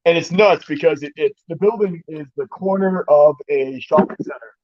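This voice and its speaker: A man's voice: a little high, moderate pace, no echo, dull audio. A man hosting a casual chat, jokey and rambling, often laughing. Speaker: streamer, host of a chat.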